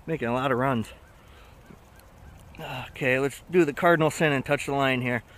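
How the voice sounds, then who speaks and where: A man with a bright, forceful voice talks casually as he fishes from a riverbank. His speech is slangy and full of exclamations, at a middling pitch. It is picked up outdoors on a body-worn action camera, with some steady noise behind him. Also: moderate pace. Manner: slangy, exclaiming to himself and companion. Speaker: vlogger fishing from shore. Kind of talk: casual excited fishing chat.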